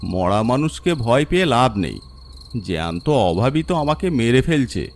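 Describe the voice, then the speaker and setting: A man's voice: medium pitch, sing-song, normal speed, a little hiss or hum. A man voicing a weary, needy graveyard guard of about fifty in a horror story. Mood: desperate.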